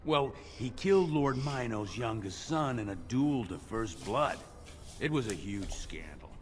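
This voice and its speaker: Haughty, lively-pitched dwarf townsman. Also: unhurried. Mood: disdainful gossip. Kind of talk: fantasy game dialogue.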